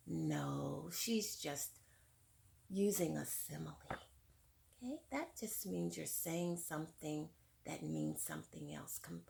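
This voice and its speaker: A woman teacher reading a picture book to children, pausing for hushed, whispery asides and questions. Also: deep voice, gravelly. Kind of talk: read-aloud for children.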